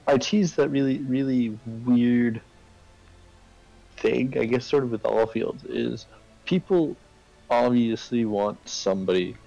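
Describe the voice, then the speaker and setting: Gravelly low-voiced man chatting casually. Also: a little mellow, highs cut off. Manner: rambling, explains with hypotheticals and tag questions. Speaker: game streamer. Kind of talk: casual chat during a game livestream.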